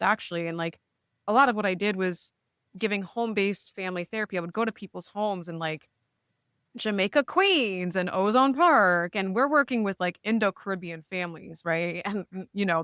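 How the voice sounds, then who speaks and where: A woman's voice: medium pitch, brisk, fairly bright tone, dry recording, clean studio sound. A woman, a therapist speaking reflectively in a casual podcast chat, often checking in with "right?". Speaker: therapist, guest.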